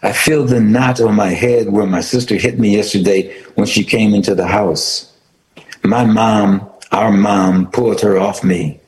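A man reading aloud in the voice of a grieving sixteen-year-old narrator, somber and steady. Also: lowish voice, unhurried, natural voice. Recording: roomy echo, faint noise.

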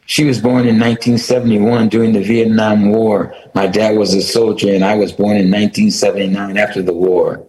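A man's voice: fairly low pitch, little pitch movement, brisk, hardly any pauses, darkish tone, close to an everyday voice. Man reading as a grieving sixteen-year-old. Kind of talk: reading a story aloud. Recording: roomy echo, faint noise.

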